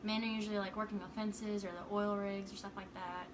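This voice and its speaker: A woman's voice: medium pitch, level tone, fairly quick. A woman from Texas, a waitress answering interview questions plainly. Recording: distant mic, clear background noise.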